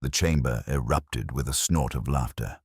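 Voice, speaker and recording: A man's voice: a bass voice, moderate pace, a little mellow, slightly rough, no echo, clean studio sound. A man reading a mystery story as a scripted audiobook narration.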